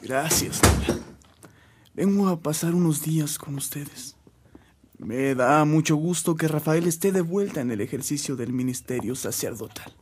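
Man playing a formal, higher-voiced churchman. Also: moderate pace, a little mellow, a little hiss or hum, natural voice. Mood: courteous. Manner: formal, polite. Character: courteous, dignified visiting churchman. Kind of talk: Spanish-language radio drama acting.

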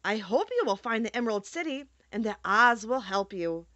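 Woman as a kindly, high-voiced mother stork. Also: lively intonation, moderate pace, a bit bright, no echo, dull audio. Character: kindly, helpful mother stork in a children's storybook.

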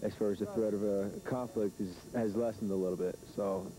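Male army officer, lowish voice, news interview, noisy field recording. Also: fairly even intonation, brisk, warm tone. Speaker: military commanding officer being interviewed.

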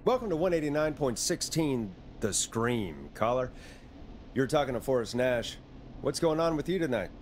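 A man's voice: medium pitch, very animated, moderate pace, a little hiss or hum, close to an everyday voice. A man playing a jaded, sarcastic late-night radio DJ in a video game. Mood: slick, welcoming.

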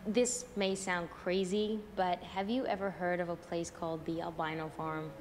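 A woman's voice: fairly low pitch, level tone, normal speed, natural voice. A young actress playing a curious woman who asks polite, searching questions. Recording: echoey hall, faint noise.

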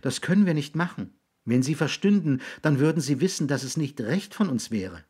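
A man voicing an earnest, high-voiced young boy. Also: lively intonation, normal speed, slightly rough. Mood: earnest, patient. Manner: patient, gently insistent explaining. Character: earnest young boys, patient and solemn brothers.

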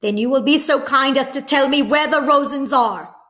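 A woman voicing a harsh, angry German officer who barks loud commands in a storybook reading. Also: medium pitch, expressive, slow pace.

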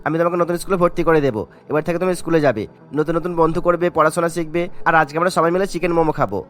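A man's voice: on the high side, racing, natural voice. A man playing a loving, cheerful father in a cartoon. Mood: doting, cheerful. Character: loving father home from the city.

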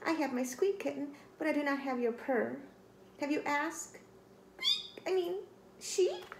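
A woman's voice: high voice, unhurried, gravelly, roomy sound, noisy background, voice pushed up. A woman voicing a tiny, nervous, hesitant mouse in a children's storybook.

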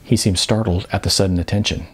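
A middle-aged man reading his novel aloud as an author, in an animated, emphatic audiobook storytelling style. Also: lowish voice, fast, slightly rough. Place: small furnished room indoors.

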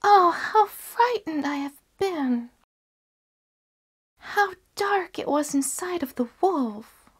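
A woman voicing a timid, innocent little girl in a storybook reading, with breathy, drawn-out wonder. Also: extremely high pitch, animated, pitched above the performer's own voice, exaggerated voice. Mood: frightened relief.

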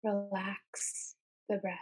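A woman guides a meditation in a soft, husky-breathy voice. Her delivery is very slow and level, with little rise or fall in pitch. She speaks calmly and soothingly, pausing between short phrases. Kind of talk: guided meditation, calm scripted narration.

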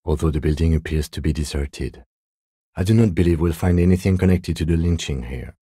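A man acting a reserved, dutiful police detective in a video game, speaking in a measured, formal, deadpan way with dry courtesy. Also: very deep voice, breathy edge, dry recording. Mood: measured, matter-of-fact. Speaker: voice actor.

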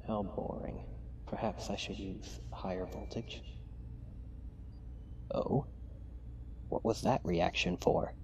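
Creepy mad scientist guy some man acting it, asmr roleplay, low crackly voice, cold n clinical, menacing, bit of background noise. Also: creaky, exaggerated voice. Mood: intrigued, scheming. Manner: clinical dictation mixed with hushed, taunting asides.

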